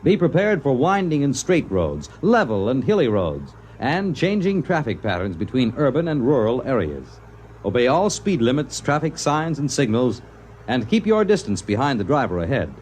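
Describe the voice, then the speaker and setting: A man speaking as a radio announcer in a measured, formal style, reading a public service message. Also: mid-range voice, very animated, a little mellow, slight background noise.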